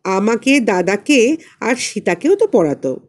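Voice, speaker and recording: A woman's voice: medium pitch, very animated, unhurried. Woman voicing a proud, naive little boy. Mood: proud, boastful. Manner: boastful, eager. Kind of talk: audiobook character voice.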